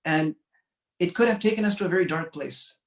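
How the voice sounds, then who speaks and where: A man from Canada giving an earnest, measured commemorative speech, pausing often with fillers. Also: high-pitched, roomy sound, distant mic. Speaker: community speaker.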